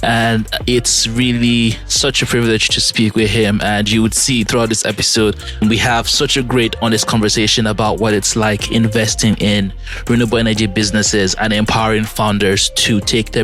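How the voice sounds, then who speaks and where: A man's voice: on the low side, a bit bright. A man hosting a podcast, introducing his guest and asking questions with enthusiasm. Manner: enthusiastic, appreciative. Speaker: podcast host.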